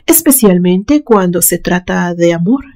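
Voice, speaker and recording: A woman voicing an elderly, enigmatic great-aunt in a storybook, calm, soft and wistful. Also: fairly low pitch, unhurried. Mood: soft, melancholic. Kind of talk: dramatized storybook reading.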